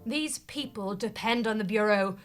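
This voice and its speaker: An actress playing a melodramatic, bossy and overwrought office manager in a scripted audio comedy. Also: female voice, mid-range voice, normal speed, faint music behind, lightly acted. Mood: upset. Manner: theatrical, swings from panic to forced calm.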